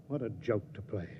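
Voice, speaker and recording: Casting: male actor for a doubting, worn-out commander in vintage radio sci-fi; deliberate and brooding, dull lo-fi recording. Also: on the low side, dark tone, natural voice. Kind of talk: 1950s radio drama acting. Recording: dull old radio broadcast, faint noise.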